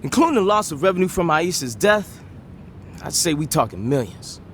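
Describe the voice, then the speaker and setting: An actor playing a young, hot-headed American gangster in a video game cutscene, indignant and sarcastic. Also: male voice, on the high side, very animated, normal speed, a bit bright, a little hiss or hum. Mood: sullen.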